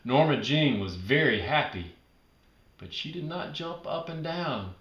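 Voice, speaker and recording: Slow, expressive man reading a children's story. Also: fairly high pitch, reverberant, dull audio. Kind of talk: children's read-aloud narration.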